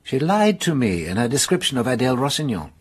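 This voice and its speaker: An actor playing a shrewd middle-aged police detective, laying out his reasoning in a measured way. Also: medium pitch, sing-song, slightly rough. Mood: explaining. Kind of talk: radio drama acting.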